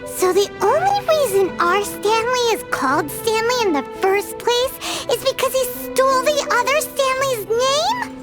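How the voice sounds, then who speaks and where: A tiny floating fairy girl, very high squeaky voice, bouncy and lively, slow and deliberate, blunt and nosy, game soundtrack behind. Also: over loud music. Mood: puzzled. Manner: refers to herself in the third person. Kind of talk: video game voice acting.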